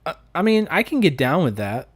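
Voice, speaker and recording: A man's voice: a little high, sing-song, a little fast, husky, no echo. Casual Southern American man, dry close sound. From United States. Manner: informal, joking. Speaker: live streamer. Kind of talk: casual live stream chat, reading and answering comments.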